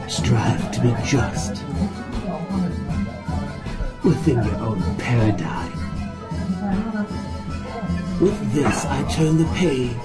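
A man reading a slow, solemn, reflective story narration in measured, old-fashioned language. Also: medium pitch. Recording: music bed under the voice.

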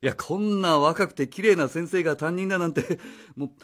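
A man's voice: a little high, brisk, a bit bright. A man playing a flustered, evasive, cheeky father who drives a taxi, stammering and blustering in a radio drama. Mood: nervous, jovial. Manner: stammering, blustering, casual speech. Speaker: voice actor.

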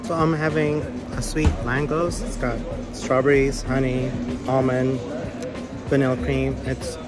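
A man filming a casual travel vlog on a phone held at arm's length, chatting in a relaxed way with lots of fillers. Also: medium pitch, a little slow, loud music behind the voice. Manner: chatty, filler-heavy, playful. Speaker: travel vlogger. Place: outdoors in a busy city and a market hall. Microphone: phone camera at arm's length.

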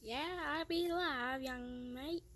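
A woman's voice: high-pitched, takes it slowly, a bit bright. A woman voicing a female chick plush toy with a put-on Texan drawl, talking in a folksy way.

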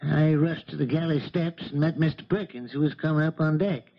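Casting: old charter skipper, folksy, shaken, indignant, slightly high voice, dull vintage radio sound. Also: male voice, a little fast, gravelly. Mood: grim recounting. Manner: folksy, ungrammatical working-man speech. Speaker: radio drama actor. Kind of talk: 1970s radio drama acting. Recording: muffled vintage radio broadcast recording.

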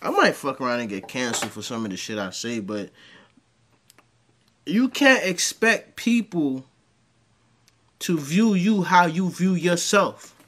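Animated man ranting in a bright voice. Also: on the high side, a little slow, long pauses. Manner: animated, rambling storyteller with crude jokes. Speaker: commentary host. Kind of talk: reaction commentary. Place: dim home room with green light.

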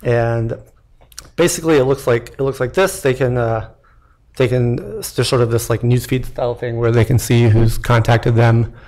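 A man's voice: medium pitch, steady tone, normal speed, darkish tone. A young man, a startup founder, giving a casual live product demo from a podium in a lecture hall, with lots of fillers and restarts. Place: lecture hall at a tech meetup. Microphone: podium mic.

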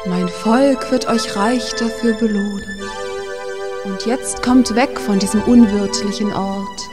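A woman's voice: medium pitch, steady tone, takes it slowly, loud music behind the voice, close to an everyday voice. A woman playing a grateful, benevolent leader of a freed people in a children's radio play, speaking solemnly and ceremonially.

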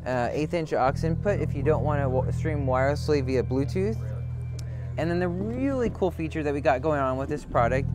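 Male product demonstrator, slightly high voice, off-the-cuff walkthrough delivery, recorded with prominent music behind. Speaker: product demonstrator for an audio brand. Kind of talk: unscripted product demo. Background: loud music.

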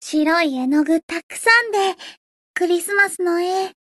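A woman voicing a teenage gothic idol girl in a Japanese game, grandiose and sweetly shy, switching between pompous declarations and soft plain talk. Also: extremely high pitch, a little slow, no room sound, studio quality. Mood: excited, sweet.

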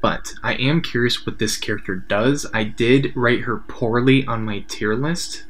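A man's voice: medium pitch, unhurried, fairly bright tone. A young man giving casual reaction commentary as a video host. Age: young adult. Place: small room indoors.

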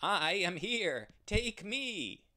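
A man's voice: fairly high pitch, lively intonation, a bit bright, voice pushed up. A man voicing a brave, determined male ram who speaks earnestly and urgently. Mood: pleading. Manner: earnest, urgent, slow and emphatic.

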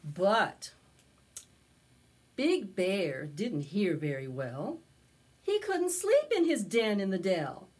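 An older woman hosting preschool storytime, reading a picture book aloud with expressive, drawn-out phrasing. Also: medium pitch, slightly rough. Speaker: library preschool storytime host. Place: small indoor space with decorated backdrop.

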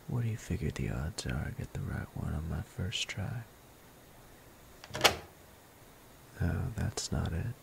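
A man voicing a sadistic male bandit captor in an ASMR roleplay, low and gravelly, often whispering in a menacing, playful way. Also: a little hiss or hum, full character voice, low-quality recording. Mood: gleefully sadistic. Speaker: voice actor.

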